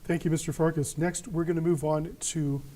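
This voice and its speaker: A man's voice: a little high, fairly even intonation, moderate pace. A male moderator making brief, formal announcements that introduce each speaker, heard from a distant mic in a noisy recording. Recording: distant mic with loud noise.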